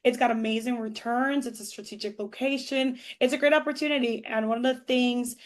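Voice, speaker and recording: Woman webinar host, slightly high voice, friendly and reassuring, on a video call. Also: steady tone, brisk. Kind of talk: casual webinar hosting.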